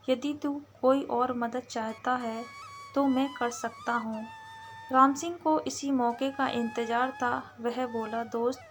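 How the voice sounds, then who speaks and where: A woman narrating a scripted story in a measured way, reading any dialogue in her own voice. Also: a little high, a bit bright. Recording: music behind the voice. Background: background music.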